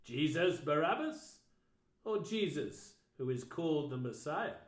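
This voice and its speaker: A man's voice: on the high side, expressive, a little slow. Pilate, a man playing a stern questioning governor. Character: stern Roman governor, middle-aged man, uneasy. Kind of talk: dramatised scripture reading. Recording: roomy echo.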